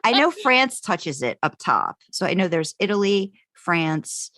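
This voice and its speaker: A woman's voice: lowish voice, big pitch swings, measured pace, a bit bright, no echo. A woman co-hosting a podcast, talking casually and informally in a self-deprecating way. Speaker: podcast co-host.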